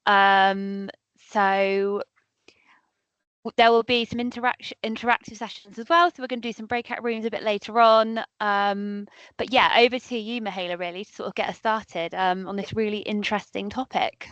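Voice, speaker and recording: Smooth-voiced woman hosting, slightly muffled. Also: mid-range voice, steady tone, moderate pace, a bit bright, no echo. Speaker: event host introducing the guest. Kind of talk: informal spoken introduction to an online session.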